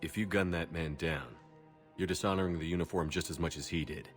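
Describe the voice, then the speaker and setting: Deep-voiced, firm military commander played by a man. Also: fairly even intonation, a little fast, faint music behind, lightly acted. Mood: stern. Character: male military commander, firm, principled, authoritative. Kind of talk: video game cutscene dialogue.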